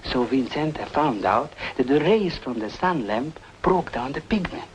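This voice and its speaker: A man's voice: mid-range voice, expressive, measured pace, a little hiss or hum, close to an everyday voice, low-quality recording. A man playing a helpful, talkative small-town art shop owner, musing aloud in an old-time radio drama. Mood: reminiscing.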